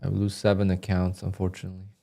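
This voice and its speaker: Low-voiced man streaming his trading. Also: fast talker, vocal fry. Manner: flat, dry, sounds annoyed even when content. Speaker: day trader livestreamer. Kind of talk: live stream commentary, reading donations.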